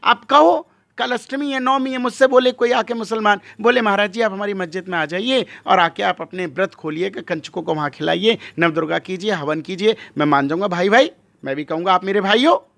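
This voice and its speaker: High-pitched, emphatic male religious leader. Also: a little fast, creaky, fairly bright tone, no room sound. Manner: emphatic, rhetorical questions and repetition. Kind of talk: impassioned interview monologue.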